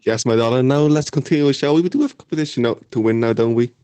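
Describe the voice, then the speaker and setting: A man playing a cheerful, affectionate young wizard in a fan audio drama, speaking in a breezy, theatrical, gentlemanly way. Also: mid-range voice, fast talker, smooth, no room sound, lightly acted. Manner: breezy, theatrical, old-fashioned gentlemanly phrasing.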